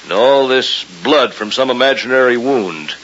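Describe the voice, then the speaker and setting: Man acting a brisk, sceptical, animated radio doctor. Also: mid-range voice, natural voice. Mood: probing, sceptical. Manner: probing, authoritative, clinical, emphatic. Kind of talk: old-time radio mystery acting. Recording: hissy, dull old radio recording.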